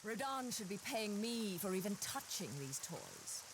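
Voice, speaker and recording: A haughty witch for a video game, with an unhurried, sultry drawl. She is poised, teasing and coolly witty. Loud vehicle noise runs behind her. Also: medium pitch, very animated. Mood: scornful. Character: sultry, haughty, unflappable adult witch with cool wit. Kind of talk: cinematic video game voice acting.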